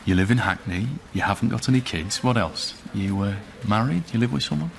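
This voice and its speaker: A man's voice: fairly low pitch, expressive, brisk, vocal fry, a little hiss or hum. An actor playing a guarded, hesitant man in a radio drama who sidesteps questions about himself. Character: guarded man going to his father's funeral.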